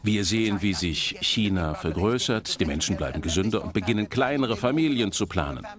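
Animated low-voiced man presenting. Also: fairly quick, music in the background. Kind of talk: animated documentary lecture to an audience.

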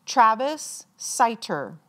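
Woman, higher-pitched voice, ceremony announcer, formal name reading, deliberate enunciation. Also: very animated, a bit bright. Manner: measured, deliberate enunciation with pauses. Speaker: commencement announcer reading graduates' names.

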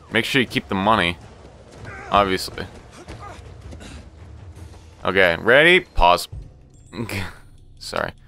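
A man with a fairly low but bright, forward voice gives casual gameplay commentary. He is excitable and swears freely, often talking to himself and the game. Faint music plays behind him. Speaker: gaming channel host. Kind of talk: casual let's play commentary.

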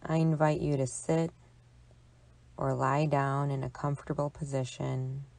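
A woman psychologist leading a guided relaxation meditation in a calm, soothing, measured delivery with long pauses. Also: a bass voice, gravelly.

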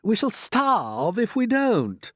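A performer as a blustering, high-pitched centipede. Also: huge pitch swings, slow pace, no echo, studio quality, exaggerated voice. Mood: blustering excitement. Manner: loud, exclamatory. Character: blustering, excitable male centipede. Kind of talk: storybook character voice.

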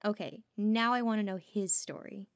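A woman's voice: mid-range voice, steady tone, moderate pace, dry recording, clean studio sound, natural voice. A woman playing an eager, curious young werewolf bookworm in an audio drama, enthusiastic. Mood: excited, curious. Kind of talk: audio roleplay drama acting.

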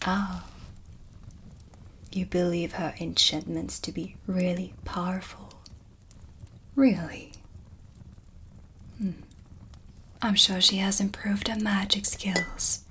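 A woman playing a calm, mysterious dark witch with dry, teasing menace, in a hushed, close-miked ASMR roleplay. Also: on the low side, slight background noise. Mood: calm, skeptical. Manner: slow, deliberate, hushed, dryly teasing. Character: dark witch, female, mysterious, sardonic, calm teacher of shadow magic. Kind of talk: soft-spoken whispered ASMR roleplay. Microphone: close studio mic for ASMR.

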